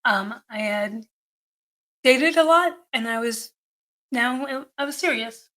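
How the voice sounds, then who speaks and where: Woman, middle-aged, fairly high pitch, forceful bright tone, teasing, plain-spoken, casual podcast co-host. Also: takes it slowly. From New Hampshire, United States. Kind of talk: casual conversation.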